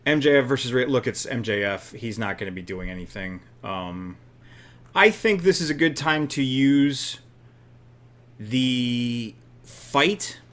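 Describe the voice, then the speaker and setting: A man's voice: mid-range voice, expressive, measured pace, fairly bright tone. A man co-hosting a casual chat while playing a wrestling booking game, easygoing and thinking aloud.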